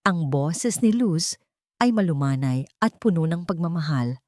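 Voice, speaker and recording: Woman narrator for dramatic fiction: lowish register, animated melody, expressive scripted read. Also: a little slow, no echo, clean studio sound. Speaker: storyteller.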